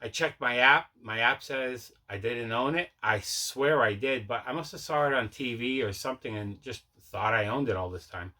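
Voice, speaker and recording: A man's voice: mid-range voice, normal speed, hardly any pauses, bright, forceful tone. A man hosting a collecting vlog, chatting casually in a rambling, conversational way and correcting himself as he goes. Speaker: host of a collecting channel. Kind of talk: casual unboxing vlog chat.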